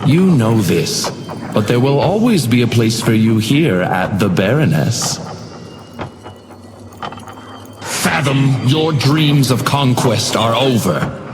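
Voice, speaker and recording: A genteel video game doorman with silky menace, his lines swooping theatrically up and down in a medium-pitched voice. He taunts his guests in measured monologues, echoing over clearly audible music. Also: expressive. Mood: cold, dismissive. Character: sinister, genteel hotel doorman tormenting guests.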